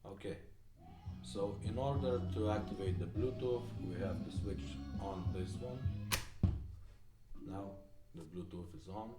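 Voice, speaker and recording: A man giving a casual, plainly worded product demo in an informal, slightly halting way. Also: on the low side. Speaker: presenter demonstrating restored car radios. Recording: echoey room with music playing. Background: music from the radio being demonstrated.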